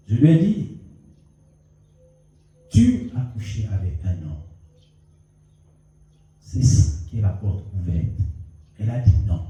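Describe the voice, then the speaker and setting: Pastor guy preaching with a cold, voice jumps all over, dark and mellow, big echoey hall from a way off. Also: mid-range voice. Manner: animated preaching with storytelling, rising and falling emphasis. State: has a cold. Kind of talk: sermon. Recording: echoey hall, mic at a distance.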